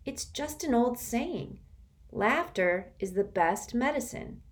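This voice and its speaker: Anxious bookish boy, high-pitched and fretful, read by a woman. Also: lively intonation, takes it slowly. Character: nervous, earnest, bookish young boy. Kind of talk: audiobook character voice.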